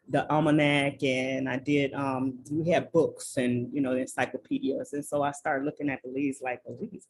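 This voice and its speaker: A woman from Michigan telling her story as an interview guest, slow and earnest, with frequent ums and restarts over a video call. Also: rumbling low, a little mellow, poor audio. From Michigan, USA. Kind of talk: reflective personal storytelling. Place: rural village in southern Belize.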